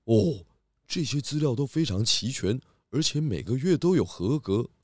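An actor playing a calm, clever male pig detective in a children's audio drama. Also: medium pitch, moderate pace, dry recording, studio quality, natural voice. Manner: steady, knowing.

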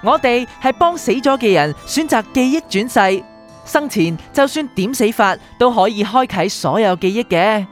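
A woman's voice: fairly high pitch, expressive, moderate pace, natural voice. A woman playing a polite, evasive memory doctor in a radio drama, formal and deferential, with a Hong Kong Cantonese accent. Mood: apologetic, deferential. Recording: music bed behind the voice.

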